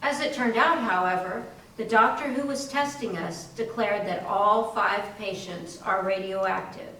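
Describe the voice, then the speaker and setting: A woman's voice: medium pitch, measured pace, sharp tone. A woman playing an ailing young working woman, resigned but resolute, in a readers theater narration. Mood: grave. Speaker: community theatre performer. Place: library readers theater performance, indoors. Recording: very echoey hall, distant, faint hiss.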